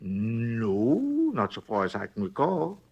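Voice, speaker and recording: Brief: folksy night clerk witness, gravelly, lively sing-song delivery, slightly high. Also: male voice, takes it slowly, highs cut off, exaggerated voice. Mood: chatty. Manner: rambling, plain ungrammatical speech. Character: folksy talkative male hotel night clerk. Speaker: robbery witness. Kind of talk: 1950s radio drama acting.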